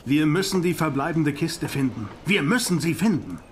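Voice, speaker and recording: An actor playing an authoritative vampire hunter, speaking earnestly and declaiming in a German audio drama. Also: male voice, a little high, animated, moderate pace, a little hiss or hum, lightly acted. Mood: grave resolve. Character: resolute, authoritative male vampire hunter leading his friends.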